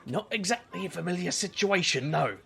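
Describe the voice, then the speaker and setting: Man playing a gravelly, wry detective magician. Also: sits high, expressive, a little fast, a bit bright, faint music behind, exaggerated voice. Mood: wry, rueful. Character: wry, awkward male superhero detective magician. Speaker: voice actor in an audio drama. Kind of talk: audio drama acting.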